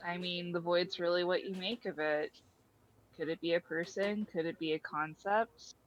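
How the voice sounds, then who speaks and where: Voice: medium pitch, flat delivery, moderate pace, highs cut off, a little hiss or hum, lightly acted. A performer playing the Void, a laid-back, mildly annoyed cosmic entity, casual and dry, in an audio drama. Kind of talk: hobby audio drama dialogue.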